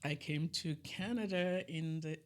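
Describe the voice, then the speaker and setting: A middle-aged woman from Ghana, a chef and food business owner, talking casually as an interview guest, animated and laughing at times. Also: deep voice, measured pace. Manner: animated, laughing, lively bursts.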